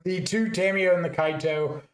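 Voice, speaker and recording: A man with a slightly raspy voice talks through card game strategy in a relaxed, casual way, thinking aloud with fillers as he goes. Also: fairly high pitch, normal speed, reverberant. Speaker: card game content creator.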